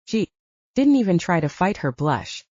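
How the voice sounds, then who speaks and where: A woman's voice: lowish voice, very animated, moderate pace, warm tone, no echo, muffled recording. A woman reading a scripted audiobook story as its narrator.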